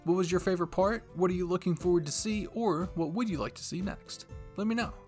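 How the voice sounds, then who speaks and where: A man's voice: sits high, a little fast, darkish tone, soft background music. A man hosting a channel, speaking to viewers in a playful, upbeat scripted style. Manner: playful, addressing viewers directly. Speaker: channel host.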